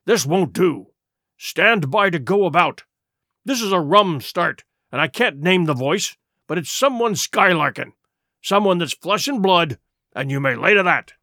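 A man's voice: high voice, expressive, a little slow, sharp tone, dry recording, studio quality, full character voice. Man playing a bold, emphatic pirate ringleader. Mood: steadying, firm. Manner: rough seafaring speech, emphatic. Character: defiant, cunning male pirate ringleader, bold and scornful.

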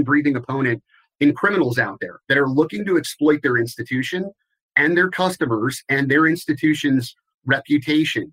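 Measured man explaining compliance. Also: on the high side, fairly bright tone, rough recording. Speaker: guest, compliance expert. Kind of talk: interview answers.

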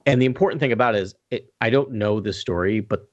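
Low-voiced man chatting on a podcast. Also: fast talker, no room sound, dull audio. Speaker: podcast panelist. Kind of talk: casual review discussion.

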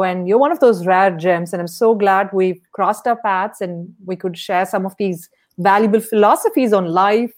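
A woman hosting a podcast, interviewing a guest with upbeat, brief affirmations. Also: medium pitch. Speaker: podcast host.

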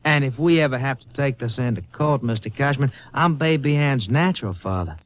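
An actor playing a smug, folksy blackmailer who drawls mock-friendly threats in a 1950s radio drama. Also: male voice, mid-range voice, expressive, brisk, no echo, lightly acted. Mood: smug, menacing. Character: folksy, sly male blackmailer, smugly threatening. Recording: dull vintage radio recording.

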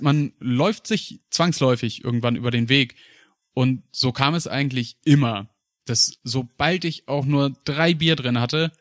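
A man's voice: mid-range voice, fairly bright tone, no echo, studio quality. A young German man co-hosting a podcast, telling rambling personal stories in casual chat, with fillers and frequent laughs. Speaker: podcast co-host.

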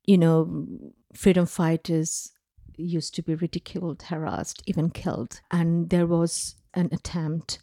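A woman's voice: fairly low pitch, little pitch movement, gravelly, warm tone, no echo, studio quality. A woman from Bangladesh, a filmmaker guest in an interview, speaking thoughtfully with hesitations. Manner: slow, thoughtful, hesitant with fillers.

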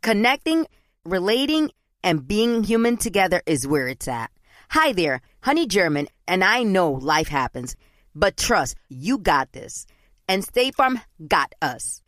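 Upbeat woman in an ad, lively. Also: mid-range voice, unhurried, no room sound, clean studio sound. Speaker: ad speaker. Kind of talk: scripted ad read.

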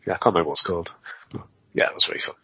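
A man in his forties hosting a relaxed wrestling chat, tripping over his words between laughs. His husky voice is slightly low. The sound is dry, close and dull. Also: racing, no echo. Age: 40s. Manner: chatty, laughs often, repeats words. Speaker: interviewer. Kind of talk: casual interview chat.

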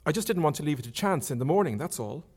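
A man's voice: fairly high pitch, lively intonation, fast, a little mellow, breathy edge, voice pushed up. A male author reading the lines of a guarded, nervous young man in a hesitant, subdued way.

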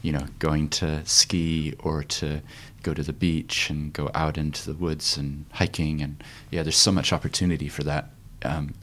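A man's voice: very deep voice, level tone, normal speed, few pauses, a bit bright. A man in his forties, raised in New Zealand, hosting a podcast interview and asking curious, searching questions with some hesitation. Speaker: podcast host. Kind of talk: casual conversational interview.

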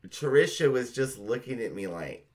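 A middle-aged man reminiscing casually with a friend as a podcast host, gushing and sweary and quick to laugh. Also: mid-range voice, expressive, a little mellow.

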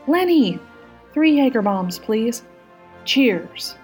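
A woman's voice: on the high side, big pitch swings, takes it slowly, a little mellow, faint music behind, pitched above the performer's own voice. Woman reading as a tipsy, haughty female prime minister. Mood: boisterous. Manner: haughty, loosened by drink.

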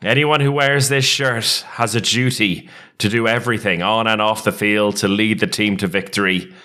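Guy doing a furious sports fan reading out a letter, super dramatic and slow, sharp bright voice. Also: lowish voice, steady tone, pitched above the performer's own voice. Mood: indignant. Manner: melodramatic, emphatic, slow declaiming. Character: outraged sports fan group declaiming an open letter.

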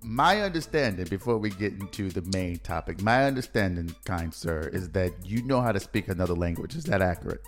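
A man's voice: low-pitched, lively intonation, fairly quick, few pauses, faint music behind. A man hosting a casual podcast, asking a guest questions in a playful, teasing way. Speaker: podcast host. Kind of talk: casual interview chat.

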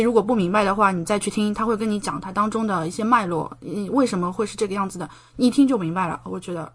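Female podcast guest, young adult, mid-range voice, casual and chatty, expressive, enthusiastic delivery. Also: mid-range voice. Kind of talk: casual chat.

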